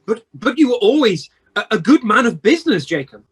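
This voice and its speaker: A man acts out a crotchety old miser for a radio play. The voice is high and husky for a man, and it jumps around in pitch. He is crabby and easily rattled. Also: sing-song, a little fast, full character voice. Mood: frightened, pleading. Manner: crotchety, stammering when frightened. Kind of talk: amateur radio play acting.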